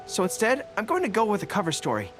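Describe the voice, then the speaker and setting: An eager young general in a video game. He is earnest and bashful, with animated, swinging intonation. Music plays clearly behind him. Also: high voice. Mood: earnest, unsure. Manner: eager, halting when embarrassed. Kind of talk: video game dub dialogue.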